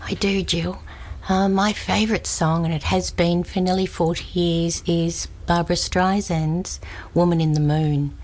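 Earnest low-voiced older woman. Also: steady tone, measured pace, few pauses, a little mellow. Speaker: group member.

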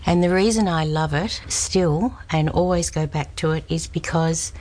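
An older woman sharing earnestly and in a measured way in a women's circle. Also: low-pitched, darkish tone, poor audio. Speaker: group member.

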